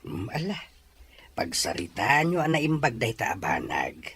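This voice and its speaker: A man's voice: mid-range voice, big pitch swings, moderate pace, vocal fry, a bit bright, breathy edge, exaggerated voice. A man playing a kindly middle-aged father in an audio drama, with an Ilocano accent. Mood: kindly, advising. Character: kindly, approving father advising his son.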